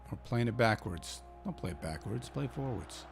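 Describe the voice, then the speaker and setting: Icelandic male host, low voice with fry, casual play-by-play cheering his team on. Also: expressive, normal speed, faint music behind. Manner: chatty, thinking aloud, cheering his team on. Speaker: gaming channel host. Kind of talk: casual football manager game commentary.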